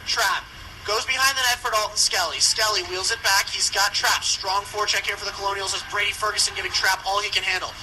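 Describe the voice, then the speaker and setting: A man doing live play-by-play commentary of an ice hockey game for radio, following the action briskly. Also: sits high, fairly even intonation, pushed and bright. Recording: noisy live radio sports broadcast.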